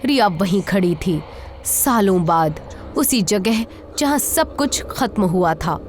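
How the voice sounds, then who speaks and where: A woman's voice: mid-range voice, expressive, takes it slowly, a little hiss or hum. A woman narrator reading a scripted horror story.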